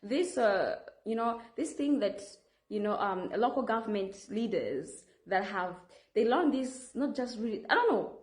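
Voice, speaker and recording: A woman's voice: mid-range voice, lively intonation, measured pace. Earnest Rwandan woman in an echoey room. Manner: earnest, insistent, with frequent restarts. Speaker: vlogger making a public appeal. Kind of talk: direct-to-camera appeal.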